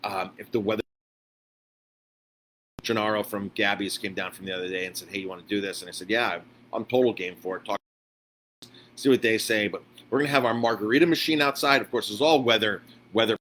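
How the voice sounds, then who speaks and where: A man giving a casual, unscripted live update as a restaurant owner, speaking informally and haltingly and often repeating himself. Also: lowish voice, a bit bright. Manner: halting, repetitive, informal address to followers. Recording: clearly noisy background ambience.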